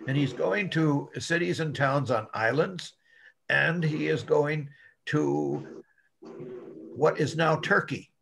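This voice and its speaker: An older man, a pastor, slowly teaching a Bible class over a video call, explaining step by step. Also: mid-range voice, gravelly, fairly bright tone, a little hiss or hum, poor audio. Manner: slow, deliberate, explaining with repeated "okay?". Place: home room on a video call. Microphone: video call webcam.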